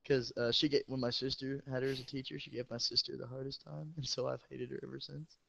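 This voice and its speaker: A teenage boy, a high school football player, telling stories in a casual, profane and boastful way. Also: level tone, fast talker, creaky, a bit bright, no echo. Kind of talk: casual storytelling chat. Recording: dull lo-fi sound.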